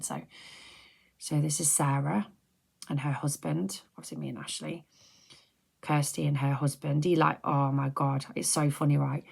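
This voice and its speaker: Breathy, low soft-spoken woman. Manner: soft-spoken, drifting into whispers, chatty and rambling. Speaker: ASMR creator. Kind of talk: soft-spoken ASMR chat.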